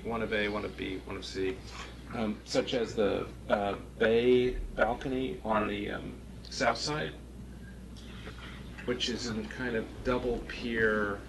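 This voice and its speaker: Low-voiced man, halting, noisy room. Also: creaky. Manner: halting, hedging, thinking aloud. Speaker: architectural review board member. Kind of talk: spontaneous critique at a public meeting. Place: small meeting room, indoors. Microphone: distant room camera. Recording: noisy meeting-room recording.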